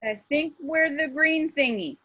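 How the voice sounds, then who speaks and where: A woman's voice: high-pitched, a little slow, dry recording. A woman acting a nervous, clueless starship crew member in a script read, on dull lo-fi audio. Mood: anxious. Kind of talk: screenplay table read acting. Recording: dull lo-fi remote call audio.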